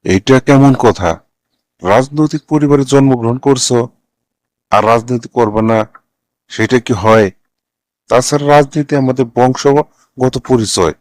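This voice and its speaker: A man's voice: medium pitch, a little slow, pauses often, darkish tone, no room sound, lightly acted. A man voicing a middle-aged, domineering politician father in a cartoon, insistent, chiding and patronising. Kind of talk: scripted cartoon dialogue.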